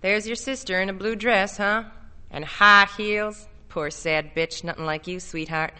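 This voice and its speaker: Woman playing a predatory storybook man, coaxing, possessive, folksy, insistent, forceful bright tone. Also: mid-range voice, steady tone, unhurried, clear, resonant tone. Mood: sly, mocking.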